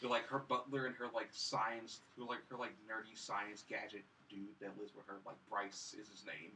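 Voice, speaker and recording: A man co-hosting a casual film review podcast, talking in a halting, repetitive way full of "like". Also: fairly low pitch, flat delivery, bright, forceful tone, a little hiss or hum. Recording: slightly distant from mic.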